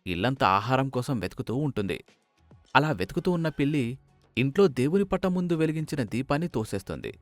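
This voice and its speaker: A man's voice: mid-range voice, sing-song, brisk, dry recording, soft background music. A man narrating a children's bird fable in storybook style.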